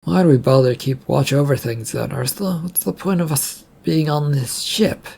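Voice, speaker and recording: Male reader as tired ship's officer in audio fiction, husky, doubtful and stubborn. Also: fairly high pitch, normal speed, a little mellow. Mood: resigned. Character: weary, stubborn male second-in-command of a ship.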